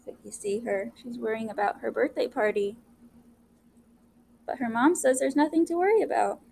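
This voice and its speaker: Higher-pitched woman reading aloud over background noise. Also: moderate pace. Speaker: educator reading a picture book aloud. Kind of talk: read-aloud storytelling with commentary for children. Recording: clear steady background noise.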